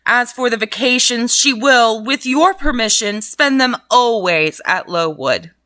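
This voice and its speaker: A woman's voice: a little high, lively intonation, measured pace, bright, forceful tone, no room sound. A woman reading aloud the lines of a cold, haughty female guardian in a storybook reading, with a curt and disdainful manner.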